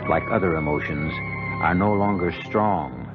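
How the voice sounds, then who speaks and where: A man hosting a radio drama with solemn, measured, scripted narration. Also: sits low. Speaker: host. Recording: muffled vintage radio recording. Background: loud music.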